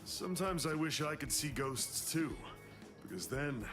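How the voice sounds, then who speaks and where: A man's voice: on the high side, lively intonation, measured pace, music in the background. A man voicing a goofy, doting middle-aged father in an English anime game dub, theatrical and sentimental. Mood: wistful, sincere.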